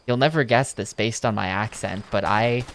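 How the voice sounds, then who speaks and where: A man's voice: fairly low pitch, moderate pace, fairly bright tone. A young man from the United States commenting casually on a game as he plays, with dry, joking asides. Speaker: video game streamer.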